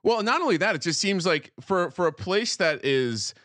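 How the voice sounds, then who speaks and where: Chatty co-host, dry close sound. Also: male voice, high voice, very animated, normal speed, a bit bright, dry recording. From New Jersey. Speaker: podcast co-host. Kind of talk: casual podcast chat.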